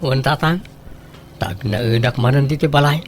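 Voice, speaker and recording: A man's voice: medium pitch, moderate pace, a little hiss or hum, lightly acted. A man playing a sheepish grown son speaking to his parents in a comedy radio drama. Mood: dejected.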